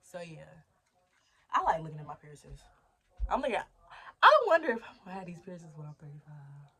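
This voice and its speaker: A woman's voice: fairly low pitch, wildly swooping pitch, fairly quick, sharp tone, slightly rough. A woman in her twenties talking through her plans in a casual, rambling diary vlog, thinking aloud and cheering herself on. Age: 20s. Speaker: vlogger.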